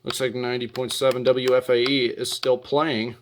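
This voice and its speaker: A man's voice: medium pitch, level tone, moderate pace, loud music behind the voice. A man presenting his hobby collection in a casual show-and-tell style, talking from home.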